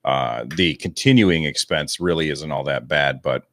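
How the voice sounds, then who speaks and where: A man with a deep radio voice, rambling and self-deprecating as a guest on a podcast interview. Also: normal speed, a bit bright, dry recording, studio quality. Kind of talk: relaxed interview talk.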